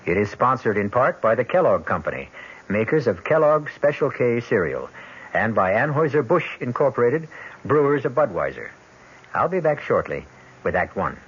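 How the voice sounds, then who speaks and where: A man's voice: mid-range voice, big pitch swings, normal speed, slightly rough. A man hosting a radio mystery show, introducing the story in a wry, dramatic storyteller's manner. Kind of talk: theatrical scripted introduction. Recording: dull vintage radio broadcast with music.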